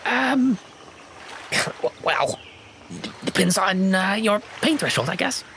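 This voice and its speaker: Man putting on a high, stammering, excitable fellow. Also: slow, slight background noise, heavily acted. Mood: hesitant. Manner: hesitant, stammering.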